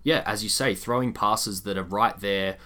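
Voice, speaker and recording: A man's voice: on the low side, steady tone, moderate pace, bright, forceful tone. A man co-hosting a sports podcast, talking through analysis casually and at length, with explanatory asides.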